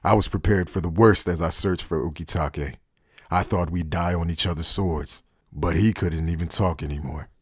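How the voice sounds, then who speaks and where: A man acting as a world-weary, cynical anime traitor speaks in a deep voice with a husky grain. He is guarded and wry, laid-back yet deliberate, in a very dry, close sound. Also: no echo. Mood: bitter, resigned. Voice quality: husky, low. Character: cynical, guarded male former Soul Reaper turned traitor. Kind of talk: anime fan dub acting.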